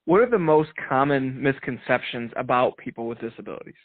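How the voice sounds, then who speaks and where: A man's voice: medium pitch, lively intonation, fairly quick. A man hosting an interview series, reading a short intro and asking brief, direct questions. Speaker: interview host. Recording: dry tinny phone-like line.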